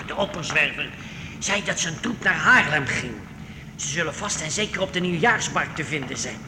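High male voice, servile scheming henchman, wheedling, radio play, long reverb, noisy background. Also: lively intonation, moderate pace. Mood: sly, eager. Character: scheming servile henchman flattering his lord the knight. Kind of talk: Dutch radio play acting.

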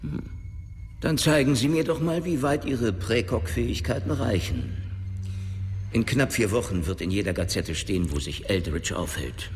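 An actor playing a brash, wily, middle-aged company boss in a radio drama, gravelly and self-important, with hesitant filler sounds between phrases. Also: male voice, on the low side, lively intonation, normal speed, long reverb tail, faint music behind. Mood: probing, brusque.

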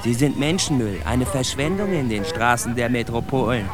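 An actor playing a stern, bitter narrator of street gang life in a radio drama, deliberate and emphatic. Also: male voice, medium pitch, natural voice. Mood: grim, bitter. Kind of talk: dramatic literary monologue in a radio play. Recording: noisy outdoor city street with traffic. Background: cars and urban street ambience.

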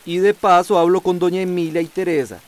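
A man's voice: high voice, steady tone, brisk, close to an everyday voice. A man acting in a radio soap as a supportive, eager aide to a mayor.

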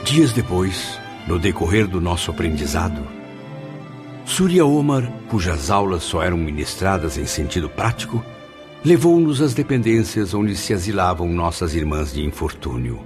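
A man's voice: low voice, sing-song, moderate pace. A man narrating a radio drama in a solemn, dramatic way. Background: music.

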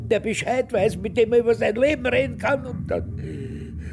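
An actor in a German radio drama playing an aged former SS guard, cowardly and self-pitying, with a halting, spluttering, wheedling manner. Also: male voice, extremely high pitch, huge pitch swings, background noise, heavily acted. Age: older.

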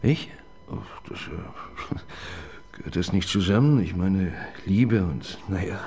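A man's voice: sits low, big pitch swings, slow pace, a little mellow, slightly rough, exaggerated voice. An actor playing a hesitant, awkward male police assistant in a radio crime drama, deferring nervously to his boss.

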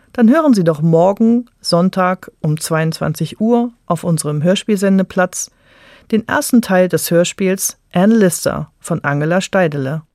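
A radio announcer with a standard German accent from Germany, reading a scripted announcement in a measured, clearly articulated way, with a voice of unclear gender. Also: mid-range voice, a little mellow, no echo, clean studio sound.

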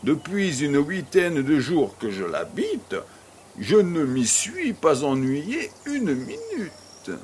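A man plays a suave, worldly baron with a very expressive, swooping delivery. He is courteous and playful in audio drama dialogue. A faint noise sits behind the voice. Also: fairly high pitch, takes it slowly, exaggerated voice. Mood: gallant. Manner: polite, flourishing, playful.

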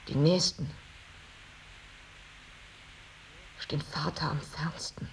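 An actress playing an earnest, devoted unmarried daughter in a German radio drama, speaking in a measured, intense way with weary dignity. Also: female voice, low-pitched, low-quality recording. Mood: subdued, heavy. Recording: radio drama with clear tape hiss.

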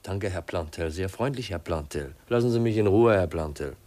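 An actor playing a shy, awkward young heir in a 1950s radio drama, speaking hesitantly and politely. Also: male voice, sits low, expressive, darkish tone. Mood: lonely, oppressed. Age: young adult. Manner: hesitant, halting, polite, murmuring inner monologue.

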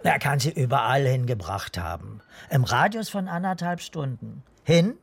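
A man acting a gruff, brooding police investigator with a gravelly, raspy voice in a radio crime drama. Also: mid-range voice, expressive, normal speed. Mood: brooding, questioning.

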